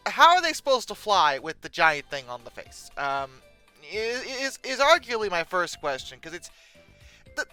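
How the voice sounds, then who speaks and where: Bright, animated, theatrically exasperated commentator. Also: medium pitch, smooth. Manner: hesitant, stammering, with theatrical exasperated emphasis. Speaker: YouTube host. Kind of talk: humorous unscripted commentary on pictures.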